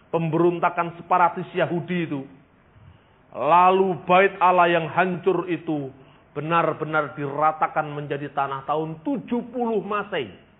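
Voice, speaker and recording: High-pitched emphatic male preacher, tinny recording. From East Java, Indonesia. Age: 50s. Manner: emphatic, repeats phrases, drawn-out stressed words. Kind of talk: sermon with Bible storytelling and history lesson. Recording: tinny, band-limited speech recording.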